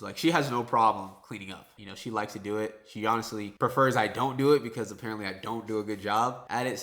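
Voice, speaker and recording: A man's voice: fairly low pitch, a bit bright. Young guy, stuffy nasal voice, casual chatty vlog, bit of hiss behind. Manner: laid-back, chatty, says like and bro. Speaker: vlogger. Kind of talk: casual vlog talk to camera. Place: at home indoors.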